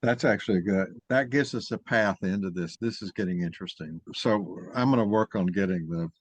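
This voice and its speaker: A man with a very deep voice leads an informal discussion. He rambles and halts as he thinks aloud. The sound is very dry, with no room around it. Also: brisk, breathy edge, dry recording. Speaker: moderator of an online mock trial.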